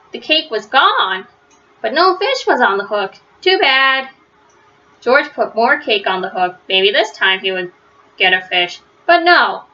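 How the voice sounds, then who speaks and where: A woman reading a children's storybook aloud in an expressive way, with drawn-out emphasis. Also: on the high side. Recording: dull, lo-fi sound.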